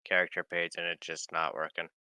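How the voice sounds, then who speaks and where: A man's voice: low-pitched, steady tone, a little fast, sharp tone, clear, resonant tone, no echo, clean studio sound. A man chatting casually as a player in an online tabletop game. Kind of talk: casual chat.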